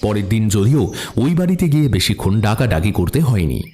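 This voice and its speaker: A man narrating a detective story in the first person as the sleuth's companion, in an expressive storytelling manner. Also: on the low side, fairly quick. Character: male detective's companion recounting the case.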